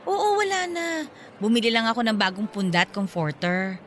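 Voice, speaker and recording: A woman's voice: on the high side, sing-song, normal speed, fairly bright tone, slight background noise. An actress playing a considerate, bashful young mother in a Filipino radio drama, speaking in a hesitant, apologetic way. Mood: evasive.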